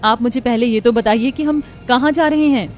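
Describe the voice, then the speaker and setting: A woman acts a young daughter-in-law in a cartoon family story. She is girlish, innocent and sweet, with a fairly high voice over soft music. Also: moderate pace, natural voice. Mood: eager.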